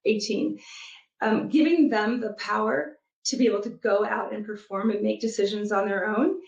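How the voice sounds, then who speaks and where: A woman guest on an interview who talks thoughtfully and steadily, often pausing with ums as she gathers her thoughts. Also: medium pitch, fairly even intonation, vocal fry. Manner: thoughtful, many fillers, measured. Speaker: guest, product manager and youth soccer coach. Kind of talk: casual interview conversation. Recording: muffled echoey remote recording.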